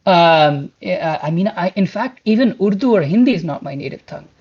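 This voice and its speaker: A man's voice: high-pitched, lively intonation, fairly quick, husky. Guy in his 40s, pashto is his first language, earnest rambly talk, lots of restarts, dull lo-fi call sound. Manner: earnest and rambling, with frequent restarts and filler words. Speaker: guest speaker, principal engineer. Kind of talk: casual online talk, telling his career story. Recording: muffled lo-fi call audio.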